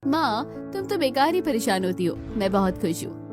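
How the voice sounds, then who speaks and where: A woman voicing a dreamy, eager, excitable young bride in a cartoon story. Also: a little high, normal speed, music in the background. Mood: dreamy, happy.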